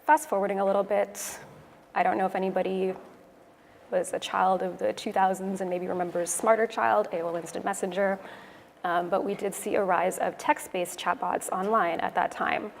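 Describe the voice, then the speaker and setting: A woman's voice: medium pitch, steady tone, moderate pace, creaky. Canadian lady giving a conference talk, explaining stuff steadily, big echoey hall w a bit of noise. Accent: Canadian English. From Toronto, Canada. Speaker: conference speaker, web developer. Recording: echoey hall, noisy room ambience.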